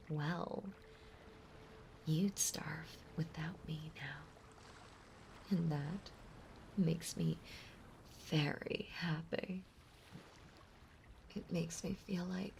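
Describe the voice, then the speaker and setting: A woman playing a possessive, lovesick mermaid monster girl in an intimate audio roleplay, breathy and often whispering, with a doting, clingy delivery. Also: lowish voice, a little mellow, a little hiss or hum. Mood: tender, possessive. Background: ocean ambience.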